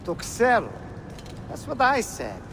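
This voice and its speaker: An impatient video game henchman, forever grumbling and exasperated. His very high-pitched voice jumps around in agitation. A noisy ambience surrounds him. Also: wildly swooping pitch, measured pace, gravelly, exaggerated voice. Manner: exasperated, complaining. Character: irritable, impatient male henchman in a dungeon. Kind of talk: video game cutscene acting.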